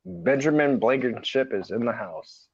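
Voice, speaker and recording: A man's voice: mid-range voice, animated, measured pace, vocal fry, over a noisy crowd. A man in his early thirties, co-hosting a casual livestream chat, upbeat and slangy. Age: 30s. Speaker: co-host. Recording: dry muffled stream audio.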